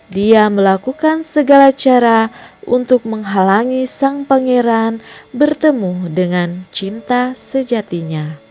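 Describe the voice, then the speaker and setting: A woman narrating a film recap in a slow, measured, scripted reading style. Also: on the high side, a little mellow, dull audio.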